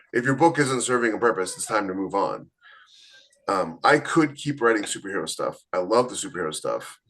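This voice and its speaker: A man's voice: low voice, fairly bright tone. Blunt, opinionated man co-hosting a podcast. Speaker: podcast co-host, author. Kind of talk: casual chat.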